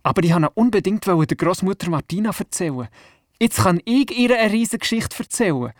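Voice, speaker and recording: A man's voice: high voice, animated, fairly quick, dry recording, studio quality. An actor with a Swiss German accent playing a curious, imaginative grandson who narrates his adventures in a radio play. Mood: storytelling. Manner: inquisitive, childlike storytelling. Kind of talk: scripted first-person radio play narration.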